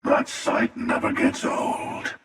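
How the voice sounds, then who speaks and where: An actor playing a sardonic, ruthless hunter from another universe in a video game, speaking in a measured, taunting, philosophical way with a gravelly, heavily reverberant voice. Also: male voice, fairly bright tone. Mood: calm, resigned. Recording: long echoing reverb, distant sound.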